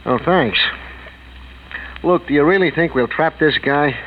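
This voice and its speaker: A man's voice: a little high, a little slow, lightly acted. An actor playing a grumbling, wisecracking junior policeman on night watch, wry and complaining in a 1940s radio mystery. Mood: groggy, wry. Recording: tinny, noisy 1940s radio.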